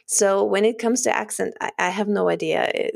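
Author guest, woman with a German accent, gravelly edge, relaxed and chatty. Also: medium pitch, moderate pace. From Russian-German background, lives in Germany. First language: German or Russian. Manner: thoughtful, laughs often, self-conscious. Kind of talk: casual podcast interview.

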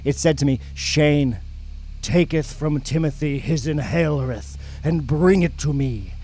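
A man's voice: fairly high pitch, measured pace, a little mellow. A man playing a sly, aloof teenage camper in an audio drama, evasive and given to theatrical mock-biblical airs. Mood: theatrical, mock-solemn.

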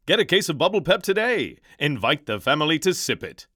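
A man's voice: a little high, sing-song, brisk, fairly bright tone, no room sound, clean studio sound. An actor playing an emphatic 1930s radio sponsor announcer with a showy, old-fashioned salesman's delivery. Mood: cheery, salesy.

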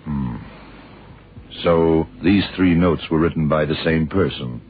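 Casting: cool, laconic radio-play detective, extremely low male voice, dull vintage sound. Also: slow pace. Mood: cool, probing. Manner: terse, laid-back questioning. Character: calm, shrewd male blind detective. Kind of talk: 1970s radio crime drama acting. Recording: muffled old radio recording, faint hiss.

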